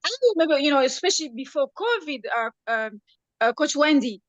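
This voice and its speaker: A woman's voice: high-pitched, animated, brisk, dry recording, lo-fi sound. A woman from the Congo, hosting a talk show and chatting enthusiastically, with many hesitations. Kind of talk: casual talk-show chat.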